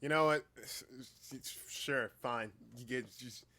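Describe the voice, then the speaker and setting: A man's voice: mid-range voice, unhurried, noisy background. A man hosting a casual livestream chat, speaking informally, with repeated words and occasional swearing. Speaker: livestream host and hobby voice actor.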